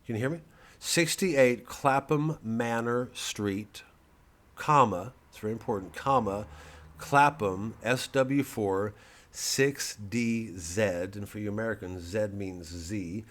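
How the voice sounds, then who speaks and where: A man with a fairly low voice talks casually, like a comedian plugging a gig to camera. The delivery wanders and digresses, with a dry, mock-earnest edge. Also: takes it slowly. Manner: rambling, digressive. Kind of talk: casual vlog promoting a live show.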